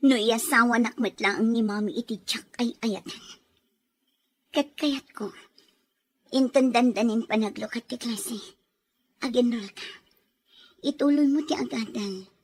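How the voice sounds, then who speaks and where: An actress plays a young working woman in a radio-style drama. Her voice sits a bit high, and she speaks with earnest feeling, sincere and stubborn. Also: measured pace, pauses often, slightly rough. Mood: earnest, caring. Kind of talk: Ilocano audio drama acting.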